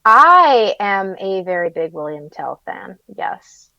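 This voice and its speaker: A woman's voice: mid-range voice, big pitch swings, slow, creaky, no room sound, highs cut off. A young woman guesting on a podcast, chatting in an upbeat, fan-like way with many "like"s. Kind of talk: casual conversation.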